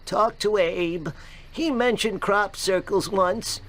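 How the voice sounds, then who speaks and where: A man voicing a frail, nervous little old man in an animated cartoon video game. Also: high voice, slow pace, heavily acted.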